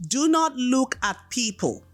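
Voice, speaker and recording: A woman's voice: high voice, very animated, a little slow. A Nigerian woman, a podcast guest, giving firm advice in a casual chat and repeating her key points for emphasis. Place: United States.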